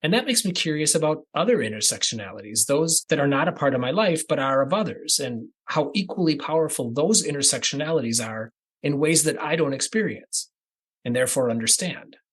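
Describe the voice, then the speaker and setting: A man from the American Midwest hosting a podcast, reading a scripted introduction in a measured, deliberate cadence that moves through lists. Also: fairly high pitch, animated, a bit bright, slightly rough. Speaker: podcast host.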